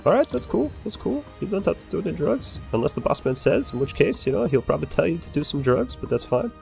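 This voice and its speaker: A man improvising in character during a role-play game, excitable and swearing freely. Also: a little high, fast talker, vocal fry, soft background music. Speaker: role-play player, bar owner character.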